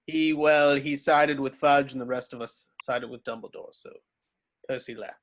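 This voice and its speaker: An actor playing a kindly wizard father, warm but hesitant and awkward, in a table read. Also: mid-range voice, fairly even intonation, normal speed, close to an everyday voice. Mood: awkward regret. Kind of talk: amateur online table read of a screenplay.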